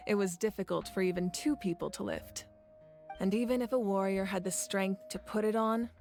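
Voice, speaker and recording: An actress playing a composed, proud warrior woman in a video game, recounting tales in a measured, thoughtful way. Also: medium pitch, a little mellow, lightly acted. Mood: explanatory. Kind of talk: video game story dialogue.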